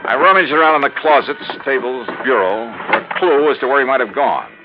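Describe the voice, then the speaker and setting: An American man playing a brisk, inquisitive insurance investigator who also narrates, in 1950s radio drama style. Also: mid-range voice, expressive, music in the background, close to an everyday voice. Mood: narrating. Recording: muffled, noisy old radio recording.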